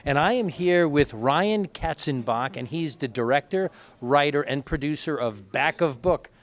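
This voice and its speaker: A man's voice: a little high, expressive, unhurried. A man hosting on-location interviews in an upbeat, effusive and affirming manner. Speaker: interviewer and show host.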